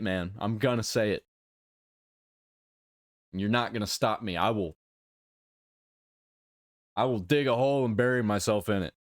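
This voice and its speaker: A man with a fairly low voice hosts a casual reaction video. He talks bluntly and emphatically, breaking his opinions into short, halting phrases. The sound is dry and close, with little room in it. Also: no room sound.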